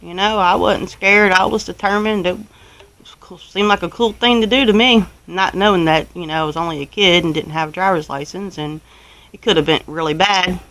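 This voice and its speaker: A woman's voice: fairly low pitch, a little fast, fairly bright tone, rough recording. A woman with a Southern US accent tells a personal story to viewers in a casual, folksy, rambling way, using country turns of phrase. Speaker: homestead vlogger telling a personal story.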